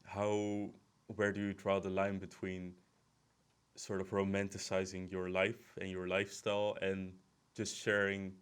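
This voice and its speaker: A man's voice: deep voice, completely flat delivery, a little slow, clear, resonant tone. A man hosting a podcast, interviewing casually and feeling his way through loosely phrased questions. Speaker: podcast host.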